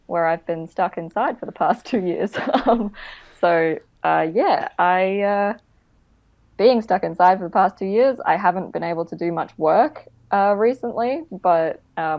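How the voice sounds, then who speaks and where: Woman, actor, guest interview, slightly low pitch, hesitant, filler-heavy. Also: vocal fry, darkish tone. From Queensland, Australia. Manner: hesitant, many ums, laughs while talking. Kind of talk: casual interview.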